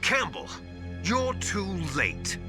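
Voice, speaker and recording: An actor playing a scheming military villain in a video game, with a taunting, theatrical, drawn-out delivery. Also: male voice, sits high, wildly swooping pitch, exaggerated voice. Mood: smug menace. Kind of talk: dramatic video game voice acting. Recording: loud music behind the voice.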